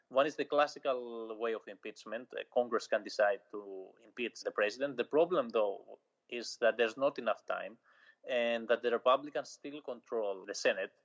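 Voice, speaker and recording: A man from Greece with a Greek accent, a journalist giving an interview, speaking in short measured phrases with pauses. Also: mid-range voice. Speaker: journalist guest. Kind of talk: interview answers, eyewitness account. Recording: slightly dull lo-fi audio.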